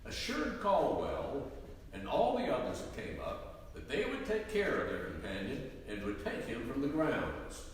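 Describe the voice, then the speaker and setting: A man's voice comes from far off in a very echoey hall. He gives scripted historical narration at a medium pitch with very lively intonation. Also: a little slow. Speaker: narrator introducing testimony. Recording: very echoey hall, distant, faint noise.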